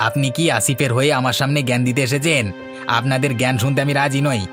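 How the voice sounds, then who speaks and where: A man's voice: medium pitch, level tone, quick pace, close to an everyday voice. A man playing a rude, hot-headed village bully in a cartoon, with a curt, scornful, aggressive delivery. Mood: irritated, scornful. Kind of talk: Bengali cartoon story voice acting. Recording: music behind the voice.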